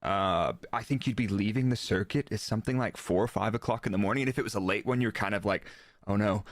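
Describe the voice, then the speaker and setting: A man's voice: fairly low pitch, quick pace, no echo, clean studio sound. A man talking casually as an interview guest, explaining technical work in the manner of an engineer. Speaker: guest, former Formula One performance engineer.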